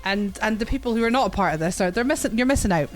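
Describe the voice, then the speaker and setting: A woman, hosting a casual podcast chat, talkative and quick to laugh. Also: a little high, lively intonation, soft background music. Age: 40s. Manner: chatty, laughs often, swears casually. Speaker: podcast host and streamer.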